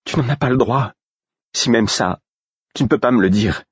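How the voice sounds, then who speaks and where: A man's voice: deep voice, fairly even intonation, normal speed, breathy edge, dry recording, lo-fi sound. A man voicing a furious, wounded young woman in an audiobook, indignant, scornful and biting. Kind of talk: audiobook character acting.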